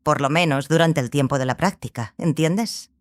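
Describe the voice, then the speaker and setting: A stern, scholarly sorceress played by a woman tells her story in a fantasy audiobook. She is grave and obsessive, with a measured delivery and deliberate pauses. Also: low voice, lightly acted. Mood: stern, intense. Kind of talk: dramatized audiobook dialogue.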